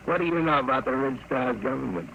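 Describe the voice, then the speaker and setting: A man's voice: mid-range voice, gravelly, a bit bright. A man acting a menacing, curt, cynical masked kidnapper in a bullying, sardonic 1950s radio drama style. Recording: scratchy, dull 1950 radio recording.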